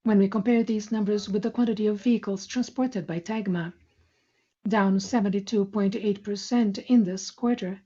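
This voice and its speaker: A woman reading out a corporate financial report in a formal, measured, scripted style. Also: mid-range voice, steady tone, warm tone. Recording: muffled, lo-fi conference call audio.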